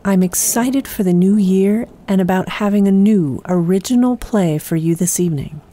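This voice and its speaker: A woman hosting an audio drama podcast, reading a scripted introduction in a measured, hushed manner. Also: medium pitch. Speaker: podcast host and producer.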